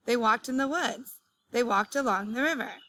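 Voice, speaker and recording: Bright-voiced woman reading a children's story. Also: a little high, moderate pace, a little hiss or hum. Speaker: read-aloud teacher. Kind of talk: children's storybook read-aloud.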